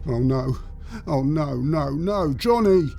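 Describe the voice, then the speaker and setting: A man's voice: on the high side, lively intonation, slow pace, gravelly, mellow, voice pushed up, exaggerated voice. A man playing an anxious, reluctant young grave-digger, timid and pleading in an audio horror story. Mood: panicked.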